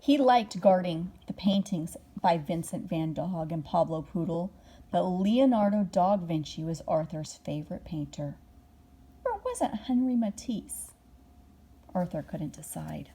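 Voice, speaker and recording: A woman reading a children's bedtime story aloud expressively, drawing out words and dropping into hushed moments. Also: on the low side, animated, measured pace, slightly rough. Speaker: storybook reader.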